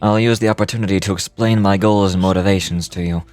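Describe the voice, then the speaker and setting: Male actor as imposing game commander: calm, courteous, measured, lowish voice, music underneath. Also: no echo, close to an everyday voice. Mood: calm, formal. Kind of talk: scripted video game dialogue.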